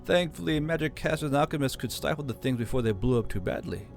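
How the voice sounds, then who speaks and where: A man voicing a kindly, chatty older male elf governor with a cheerful, gently teasing manner. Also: mid-range voice, expressive, fast, creaky, soft background music. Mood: matter-of-fact.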